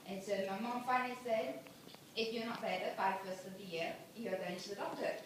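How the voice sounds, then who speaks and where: A woman's voice: mid-range voice, fairly even intonation, fairly quick. Earnest young woman telling her story, heavy echo, mic far off, noisy. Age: 20s. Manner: earnest, plain-spoken, with many fillers and repeats. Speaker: speaker sharing her story. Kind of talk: personal testimony to an audience. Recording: very echoey hall, mic far off, background noise.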